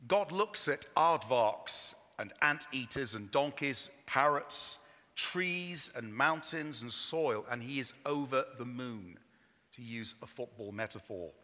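A man preaching a sermon in a church, enthusiastic and openly apologetic about how loud his voice is. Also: medium pitch, animated, slow pace. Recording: long hall reverb.

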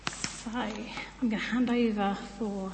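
A woman's voice: mid-range voice, steady tone, takes it slowly, creaky, noisy background. A British woman giving an informal, chatty church talk in a self-deprecating way. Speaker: church speaker presenting on baptism. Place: large church room, speaking to a congregation. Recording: echoey hall with long reverb.

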